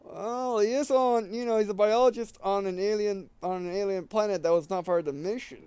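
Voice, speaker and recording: A man doing a let's play, reading game text aloud haltingly, often stumbling and repeating phrases, with casual comments. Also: high voice, a little mellow, clean tone, dry recording. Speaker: gaming video host reading a visual novel aloud.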